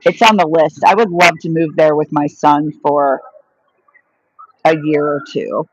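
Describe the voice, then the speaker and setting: A woman's voice: deep voice, steady tone, measured pace, dry recording, dull audio. A woman chatting casually on a live stream, answering viewer questions with a rambling, friendly flow. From United States. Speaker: vlogger answering live chat.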